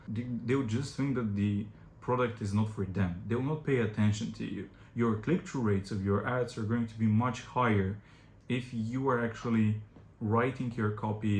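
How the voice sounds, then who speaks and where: A young man from Bulgaria whose first language is Bulgarian, speaking in English as an agency founder who gives direct, practical advice to camera and often pauses on "uh". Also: on the low side, a little mellow. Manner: direct, advisory, frequent "uh" fillers. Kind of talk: informal explainer to camera. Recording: echoey room with faint background noise.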